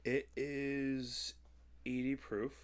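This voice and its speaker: A man's voice: medium pitch, little pitch movement, extremely slow. A man hosting a podcast in casual, jokey chat, swearing freely and repeating his punchlines. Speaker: podcast host.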